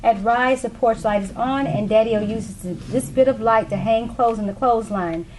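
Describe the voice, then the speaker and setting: A woman's voice: on the high side, normal speed, a little mellow, low-quality recording. A woman reading stage directions as the narrator of a scripted play. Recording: faint background noise.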